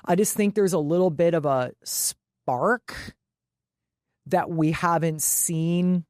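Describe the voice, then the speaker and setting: A woman's voice: lowish voice, takes it slowly, a little mellow, dry recording, studio quality. Woman in casual, emphatic sports chat. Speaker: podcast co-host.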